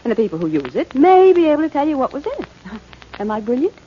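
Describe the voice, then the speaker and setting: A woman's voice: high-pitched, sing-song, fast. An actress playing a confident, clever woman amateur sleuth in a 1940s radio drama, poised and coy in manner. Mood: playful. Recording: muffled, noisy 1940s radio recording.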